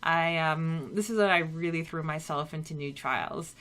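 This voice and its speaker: Woman, thirties, from Canada, low-pitched voice, podcast co-host, casual conversation, personal reminiscing. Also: moderate pace, fairly bright tone.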